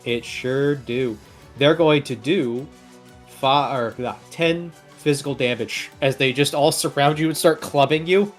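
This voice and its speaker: A man's voice: a little high, measured pace, soft background music. A man narrating a tabletop game as game master in a casual, improvised, playful way.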